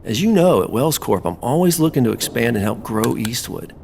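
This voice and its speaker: An actor playing a scheming, flattering businessman with a polished, persuasive delivery in an audio drama. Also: mid-range voice, brisk, vocal fry, a little hiss or hum. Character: smooth, scheming businessman pitching grand plans, flattering.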